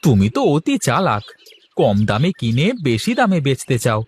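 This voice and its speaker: A man's voice: medium pitch, very animated, moderate pace, slight background noise, close to an everyday voice. A man voicing brash village market folk in a cartoon, with a blunt, teasing, colloquial manner. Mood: complaining. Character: brash male market vendor and haggling customer, teasing, grumbling. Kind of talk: cartoon character dialogue.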